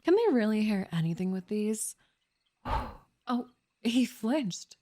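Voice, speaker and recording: A woman's voice: mid-range voice, sing-song, slow pace, mellow. A woman playing a sceptical, grumpy young elf in an ASMR roleplay, speaking in a dry, reluctant, easily flustered manner. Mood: reluctantly intrigued. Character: sceptical, grumpy, secretly intrigued young female elf forest warden.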